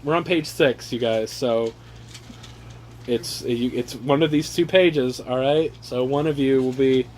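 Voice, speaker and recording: A man casually reading aloud and riffing on the text with sarcastic, profane, animated asides, on an amateur home recording. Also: mid-range voice, measured pace, slight background noise. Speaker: reader riffing on a handbook aloud to his brothers. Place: casual room with brothers chiming in.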